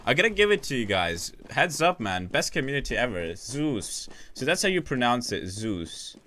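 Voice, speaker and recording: A man calls a competitive shooter match alone, tumbling from play-by-play into asides to his viewers. His voice is bright and forceful, and he often restarts his sentences mid-call. He keeps a casual, joking touch even while tracking the action. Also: medium pitch. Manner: rapid, chatty, self-interrupting, jokes with chat. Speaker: esports caster. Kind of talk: solo live game commentary.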